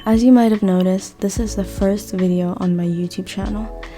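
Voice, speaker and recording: A young woman and native Arabic speaker talks through a scripted lesson on her own channel. Her voice is mellow and soft-edged, and she explains things in an earnest, measured way. Faint music plays behind her. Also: mid-range voice, fairly even intonation. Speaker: language teacher and channel host. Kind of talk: scripted educational monologue.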